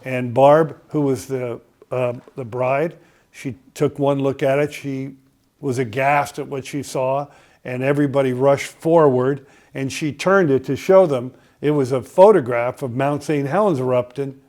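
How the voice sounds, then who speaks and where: A man's voice: mid-range voice, unhurried, darkish tone. An older man from Connecticut, a podcast guest telling a long story, with many filler sounds and restarts. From Connecticut, USA.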